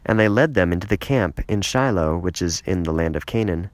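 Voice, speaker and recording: A man reading the Bible as scripted narration, with solemn, deliberate phrasing. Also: deep voice, lively intonation, no room sound. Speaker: scripture reader.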